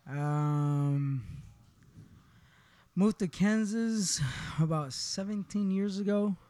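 A man's voice: sits high, very slow, dark tone, dry recording. Laid-back young man from Honduras. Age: 20s. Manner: laid-back, often says "you know what I mean". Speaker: podcast guest, barbershop owner. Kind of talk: casual personal storytelling. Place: barbershop.

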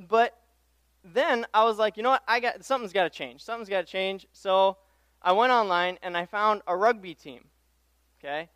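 A man's voice: sits high, fairly bright tone, smooth, dry recording. A young man from Tanzania giving an informal, conversational sermon, casual and self-deprecating, often repeating his phrases. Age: young adult. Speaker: pastoral intern preaching as guest speaker. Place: church pulpit.

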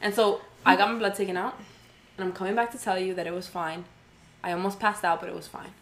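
A woman's voice: mid-range voice, level tone, fast talker. Giggly young woman rambling casually. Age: 20s. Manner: giggly, rambling with many fillers. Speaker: podcast co-host. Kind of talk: casual chat.

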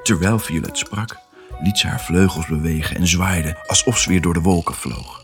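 Low-pitched male storyteller over music. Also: moderate pace. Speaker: narrator. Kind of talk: scripted children's story narration.

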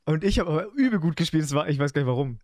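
A man's voice: on the high side, lively intonation, rapid-fire, darkish tone, no room sound, clean studio sound. A young man chatting casually with friends as a podcast co-host, talking in colloquial slang. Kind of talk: casual chat among friends.

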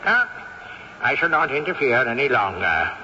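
A man's voice: medium pitch, very animated, moderate pace, sharp tone. A man playing a crusty, wealthy old male ghost in a radio drama, speaking in a haughty drawl with chuckling asides. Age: older. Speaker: ghost character. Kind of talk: 1970s radio drama acting. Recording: dull old radio broadcast, faint hiss, echo.

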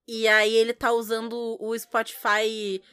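Woman, podcast co-host, high pitch, dry close sound, playful mock outrage. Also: monotone, a little slow, a bit bright, smooth, no echo. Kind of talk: casual podcast chat.

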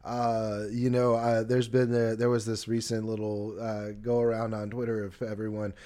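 Low-voiced American man hosting a podcast. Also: completely flat delivery, moderate pace, warm tone. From Arkansas, United States. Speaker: podcast host. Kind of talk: casual conversational interview.

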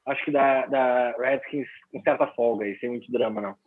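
Casual male co-host, husky, phone-quality audio, loose joking delivery. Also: medium pitch, brisk. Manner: joking, rambling with restarts. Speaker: podcast co-host, NFL picks. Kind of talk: casual sports chat. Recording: tinny phone-like line.

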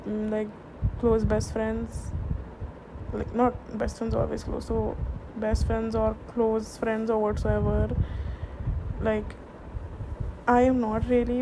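Young woman, hushed late-night ramble, slightly high, unhurried, noisy hiss behind. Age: young adult. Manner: hushed, rambling, many fillers and restarts. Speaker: vlogger. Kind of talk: late-night casual chat. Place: home at night.

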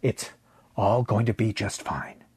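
A man voicing a weary, protective male space rescue diver, gentle and plain-spoken, in a science fiction story. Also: on the low side, fairly even intonation, measured pace, breathy edge, no room sound. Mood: gentle, reassuring.

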